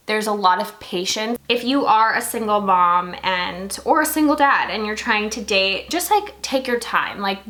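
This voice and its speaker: A woman's voice: mid-range voice, sharp tone. A young woman from Singapore chatting casually while doing her makeup, filling her talk with lots of "like". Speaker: beauty vlogger.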